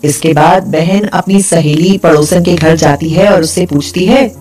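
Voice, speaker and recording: A woman's voice: fairly low pitch, unhurried, a little mellow. A woman narrating a scripted folk tale as a storyteller.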